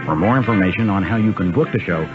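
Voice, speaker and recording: A man's voice: low voice, fast, close to an everyday voice. A man playing a jovial talk-show sidekick announcer in a scripted comedy radio commercial. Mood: jovial pitchman. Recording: dull radio ad with music bed.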